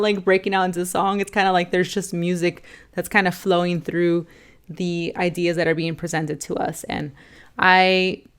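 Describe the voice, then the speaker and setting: A young woman vlogger casually reviewing films to camera, rambling with lots of fillers and restarts, a little hoarse. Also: lowish voice. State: slightly hoarse. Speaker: film vlogger ranking movies.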